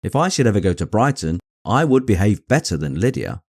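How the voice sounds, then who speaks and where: A man's voice: fairly low pitch, very animated, fairly quick, no room sound, clean studio sound, voice pushed up. A man voicing a fretful, naive teenage English daughter in an audiobook, with an indignant manner. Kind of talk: acted dialogue in audiobook reading.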